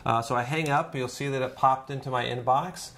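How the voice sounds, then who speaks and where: A man narrating an informal step-by-step software tutorial in a conversational, demonstrative way, as a trainer would. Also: medium pitch, steady tone, brisk.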